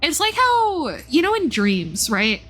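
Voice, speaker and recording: A woman with a fairly high, very lively voice talks casually as she streams a game. She muses out loud and often cuts into her own sentences, with her pitch moving freely up and down. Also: slow pace. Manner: animated, reflective, self-interrupting. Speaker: live streamer playing and commenting on a game. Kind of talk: casual livestream commentary, thinking aloud.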